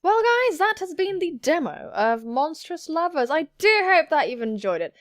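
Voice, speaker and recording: A woman's voice: high-pitched, very animated, moderate pace, a bit bright, no echo, studio quality. A woman hosting a gaming video, commenting playfully in a cheeky, sweary, theatrical manner. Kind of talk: playful let's-play commentary with read-out game narration.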